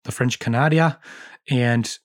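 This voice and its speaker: Slightly hoarse male co-host, chatty and jokey, nerding out on history. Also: mid-range voice, measured pace. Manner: joking, chatty, history-nerd asides. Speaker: podcast co-host. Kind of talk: casual chat.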